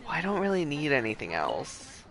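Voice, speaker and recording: A man livestreams a game with casual, off-the-cuff commentary. His voice is bright and forward at a middling pitch, with faint game music behind him. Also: huge pitch swings, moderate pace. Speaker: livestream host playing a video game.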